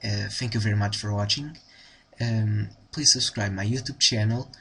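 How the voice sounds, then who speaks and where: A man's voice: fairly low pitch, moderate pace, pushed and bright, slight background noise. A young man from Portugal with a Portuguese accent, giving a casual tutorial with a hesitant delivery full of ums and restarts. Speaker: tutorial host.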